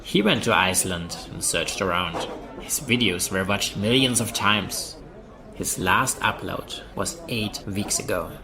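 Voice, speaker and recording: A man's voice: lowish voice, animated, a little slow, over music. A man acting in an audio drama as a cynical, blunt doomsayer who believes internet rumors. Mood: earnest, insistent. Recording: voices of a crowd behind.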